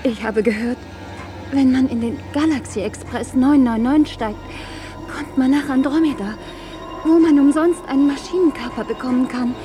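A woman's voice: high voice, normal speed, music in the background, close to an everyday voice. A woman acting as a loving, protective mother in a German radio play. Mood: dying, urgent.

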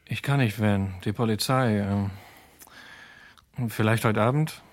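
A man's voice: lowish voice, unhurried, lightly acted. An actor playing a guarded, nervous young American man hiding crimes in a radio drama.

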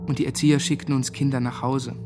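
An actor playing a haunted young former Nazi school pupil, giving a sombre, literary recollection in a German radio drama. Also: male voice, mid-range voice, level tone, fast talker, a little mellow, natural voice.

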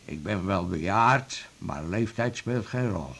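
A man's voice: low-pitched, lively intonation, slow, creaky, a bit bright, breathy edge, full character voice. A wry old sherry-drinking man. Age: older. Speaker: patient. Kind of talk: 1980s radio drama acting.

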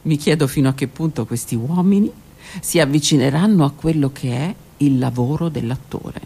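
A woman's voice: deep voice, moderate pace, creaky, dark tone, husky. A woman narrating her own literary text for a radio theatre piece, intimate and often dropping to a whisper. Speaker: author and narrator.

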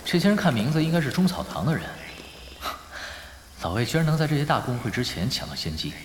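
A man's voice: mid-range voice, big pitch swings, noisy background, close to an everyday voice. A man playing a laid-back, shrewd young pro gamer in an audio drama, talking casually and wryly. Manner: casual, unhurried, wry.

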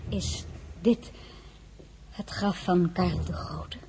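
A woman's voice: lowish voice, slow pace, dark tone, slight background noise. A woman playing a discreet middle-aged noblewoman who narrates her own story and often drops into a whisper. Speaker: narrator and lead character. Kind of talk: radio play acting with diary narration.

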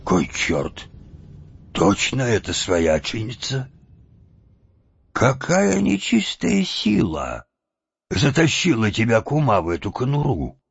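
A man voicing a pompous, quick-tempered old village head who barks orders and threatens. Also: on the low side, wildly swooping pitch, creaky, slightly rough, dry recording, muffled recording, exaggerated voice. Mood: startled. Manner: blustering, commanding, suspicious.

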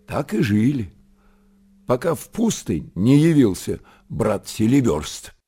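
An actor narrating a monastery tale in a radio play, lyrical and measured, with dramatic pauses. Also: male voice, medium pitch, very animated, mellow, breathy edge, full character voice. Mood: lyrical, reverent.

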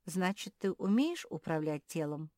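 A woman's voice: on the low side, big pitch swings, unhurried, dark tone, dry recording, studio quality. A woman voicing a sensible male terrier in a children's story, questioning and didactic. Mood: reasoning, reproving. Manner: reasoning, didactic.

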